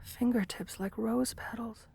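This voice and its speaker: A woman's voice: on the high side, fairly even intonation, a little slow, creaky, a little mellow, breathy edge, heavily acted. A woman playing a shy, sweet, bookish gargoyle in a romantic ASMR roleplay, speaking hesitantly with polite, old-fashioned formality. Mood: shy, bashful.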